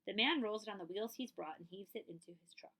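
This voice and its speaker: A woman reads a children's picture book aloud in a mid-pitched voice with a noticeable creak. Her delivery is measured and expressive, like a librarian at story time.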